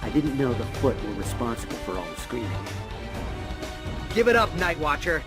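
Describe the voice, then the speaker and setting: An actor voicing an earnest, responsible young turtle ninja leader in a video game, sincere and composed. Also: male voice, mid-range voice, very animated, a little fast, natural voice. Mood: stern. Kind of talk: video game cutscene acting and narration. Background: music.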